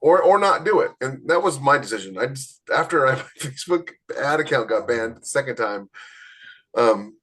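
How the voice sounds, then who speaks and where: A man co-hosting a podcast in casual conversation, chatty, with quick interjections and emphatic repeats. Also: mid-range voice, lively intonation, fairly bright tone, slightly rough. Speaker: author and podcast co-host.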